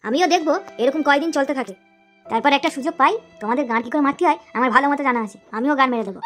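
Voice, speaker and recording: A woman's voice: high-pitched, rapid-fire, fairly bright tone, faint music behind. A woman voicing a jealous, idle grown son in a comedy cartoon, crude, demanding and threatening. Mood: resentful. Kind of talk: Bengali comedy cartoon dialogue.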